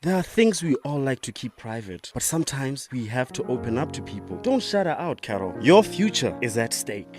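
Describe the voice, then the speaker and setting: A man acts as a caring, persuasive friend in a radio drama. His delivery is earnest and coaxing, and his pitch moves around expressively. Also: big pitch swings, normal speed, few pauses, music in the background, natural voice.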